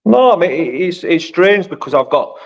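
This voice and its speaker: A man's voice: on the high side, very animated, moderate pace, creaky, highs cut off. A man, co-hosting a casual fan podcast, rambling and often saying "you know what I mean". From Sheffield, England. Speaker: podcast co-host. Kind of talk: casual chat.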